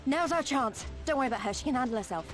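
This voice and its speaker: A woman playing a spirited, eager young female adventurer in a video game, brisk and plucky in manner. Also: high voice, animated. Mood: urgent. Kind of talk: dramatic game cutscene acting. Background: music.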